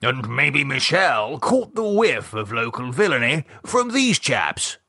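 A man voicing a fussy, mildly pompous older gentleman in an audiobook. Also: mid-range voice, sing-song, measured pace, husky, dry recording, studio quality, pitched above the performer's own voice, heavily acted. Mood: musing. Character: fussy older English gentleman, chatty, newspaper reader. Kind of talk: audiobook character voice.

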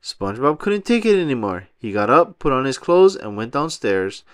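Guy doing a kids book read aloud, really expressive, mid pitch, dry close sound. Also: moderate pace, smooth, no room sound. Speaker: storybook reader.

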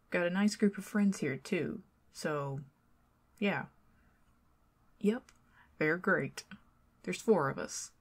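A woman with a Southern American accent doing an ASMR roleplay as a chatty, teasing neighbor who talks to the listener and drifts into soft whispers. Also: fairly low pitch. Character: friendly talkative young woman neighbor.

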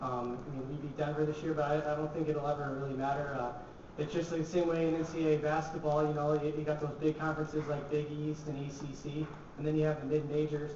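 A man's voice: fairly high pitch, little pitch movement, fast talker. A young man, a college hockey player, answering reporters casually with many fillers at a press conference table. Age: young adult. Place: large echoey press room. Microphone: table mic, from a distance. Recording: echoey, distant, dull, noisy.

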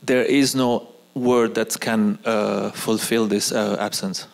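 A man in his forties, a native Arabic speaker, speaking on an auditorium stage as a guest artist, pausing and restarting often. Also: lowish voice, steady tone, gravelly. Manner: hesitant, frequent restarts. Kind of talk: onstage conversation. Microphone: handheld mic.

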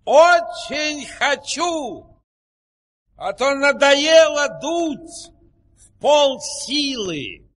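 An actor playing a polite, eager fairy-tale windmill blower in a ceremonious, theatrical audio-play manner. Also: male voice, very high-pitched, sing-song, very slow, a bit bright, heavily acted.

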